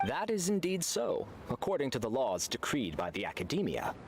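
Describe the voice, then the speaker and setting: An actor playing an impartial, measured scholar arbitrating a debate in a video game, formal and pedantic. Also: male voice, medium pitch, huge pitch swings, gravelly, faint music behind. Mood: judicious. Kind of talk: scripted video game voice acting.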